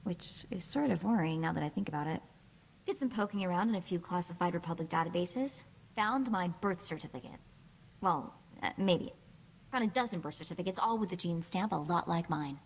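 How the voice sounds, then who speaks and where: An actress playing a sassy, quick-witted young woman hacker in a video game, with a chatty, wry delivery. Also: lowish voice, expressive, slight background noise. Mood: curious, excited. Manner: wry, chatty, hesitant asides.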